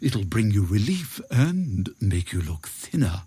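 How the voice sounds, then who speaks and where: A man voicing a sly storybook jackal who talks in a coaxing, drawn-out manner. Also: lowish voice, very animated, mellow, slightly rough, no room sound, studio quality, heavily acted. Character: smooth-talking, sly jackal bargaining.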